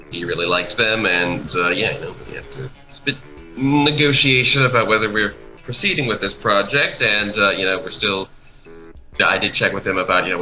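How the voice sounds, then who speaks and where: Man, lowish pitch, sharp bright tone, casual chat, halting and rambling, music behind. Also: poor audio. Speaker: animation project producer. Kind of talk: casual chat over a speedpaint.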